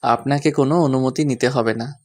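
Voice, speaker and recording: Man acting a mid-pitched devoted young husband. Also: steady tone, moderate pace, a little mellow, natural voice. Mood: respectful, earnest.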